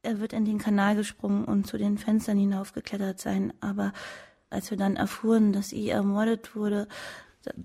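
An actress playing a young dancing girl in a radio drama, recounting events in a subdued, breathy voice. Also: medium pitch, completely flat delivery, normal speed, mellow. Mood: subdued, haunted.

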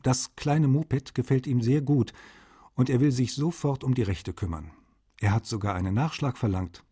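Male actor as cordial translator, radio documentary letter reading, relaxed mellow timbre, husky. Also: medium pitch, normal speed, close to an everyday voice. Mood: cordial, pleased. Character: cordial literary translator writing to a colleague.

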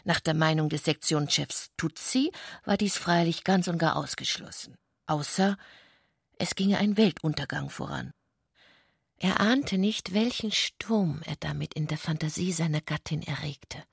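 A woman narrates literary fiction for radio in a husky, breathy voice with a creaky edge. The recording is very dry and intimate in its lack of room sound. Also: sits low, measured pace, dry recording.

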